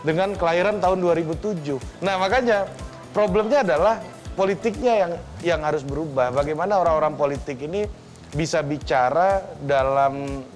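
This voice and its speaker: A man chatting casually as a guest on a television panel. His voice sits a little high, and he talks loosely and conversationally. Faint music runs behind him. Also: moderate pace. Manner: casual, chatty, laughs between points. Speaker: talk show guest. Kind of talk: studio panel discussion.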